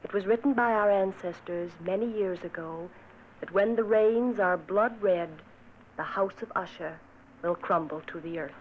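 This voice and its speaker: A woman playing a gentle, timid young woman in a vintage radio play, speaking softly and solemnly. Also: mid-range voice, normal speed, lightly acted. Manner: soft, solemn and hushed. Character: gentle young woman, timid and dutiful, narrating her fate. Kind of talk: 1940s radio acting. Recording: tinny old radio transcription with faint hiss.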